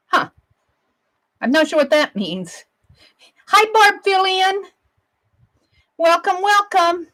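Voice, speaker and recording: A woman hosting an art livestream in casual chat, with a loud, projected voice, rambling and thinking aloud as she demonstrates. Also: sits high.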